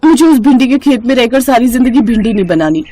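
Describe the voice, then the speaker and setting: A woman's voice: a little high, animated, fast talker, dark tone, voice pushed up. A woman voicing a defiant, ambitious young bride in a Hindi cartoon story, dramatic and complaining. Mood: determined.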